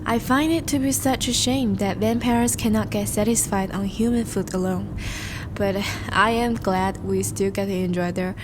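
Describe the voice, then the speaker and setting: A woman playing a charming, once-noble vampire in a romantic audio roleplay, speaking formally and intimately to the listener, with a soft, hesitant manner. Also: mid-range voice, moderate pace, background noise, lightly acted. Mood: gracious, flirtatious. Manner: formal, courteous, hesitant, soft-spoken confessions.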